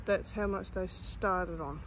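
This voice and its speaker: A man's voice: high voice, fairly even intonation, slow pace. An Australian man with a rambling, repetitive, blunt way of talking gives casual commentary while filming an outdoor train station on a handheld phone. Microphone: handheld phone camera. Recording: loud outdoor noise on phone.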